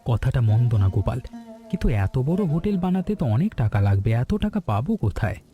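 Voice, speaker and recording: A man's voice: mid-range voice, very animated, fast, mellow, lightly acted. A man playing an earnest, hopeful village hotel owner in a cartoon story, speaking expressively. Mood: eager, thoughtful. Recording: background music throughout.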